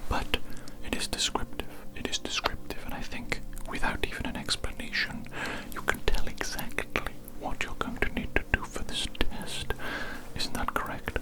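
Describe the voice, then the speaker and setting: A performer in an ASMR medical roleplay as a doctor, speaking in a soft unvoiced whisper with formal, repetitive clinical phrasing. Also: normal speed, low-quality recording. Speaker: ASMR performer playing a doctor giving a hearing test.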